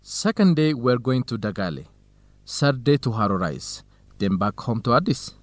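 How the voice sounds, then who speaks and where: An actor playing a helpful, knowledgeable young researcher, explaining things in simple sentences in an educational radio drama. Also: male voice, medium pitch, sing-song, normal speed, no echo, natural voice. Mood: friendly.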